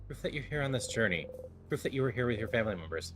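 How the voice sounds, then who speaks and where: A man's voice: mid-range voice, animated, racing, background noise, close to an everyday voice. A man playing an earnest, wistful game narrator, reading the text dramatically in the second person. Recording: music behind the voice.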